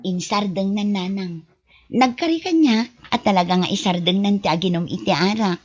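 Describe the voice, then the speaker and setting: A woman's voice: medium pitch, normal speed, a little mellow, lightly acted. A woman with a Filipino accent acting a worried, scolding family woman in an audio drama. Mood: reassuring. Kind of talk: amateur Ilocano radio-style drama acting. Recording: dull, roomless lo-fi audio.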